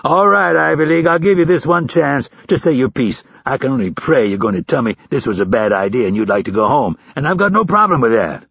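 A male narrator performs a hard, sour-tempered military trainer in an audiobook. He snaps, taunts and swears, pushed up above the reader's own pitch, with a raspy edge. The delivery is highly animated and closely, dryly recorded. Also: fairly quick, few pauses, dry recording, lo-fi sound, heavily acted. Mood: exasperated, disgusted. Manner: snapping, barking, profane. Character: sour, taunting, foul-mouthed, barking male drill-instructor type. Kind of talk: audiobook character voice.

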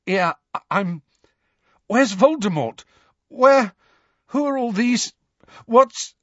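A man's voice: very high voice, lively intonation, takes it slowly, a bit bright, no echo, lo-fi sound, voice pushed up, full character voice. A man voicing a shaken, bewildered teenage boy in an audiobook, stammering in confusion. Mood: shaken, confused.

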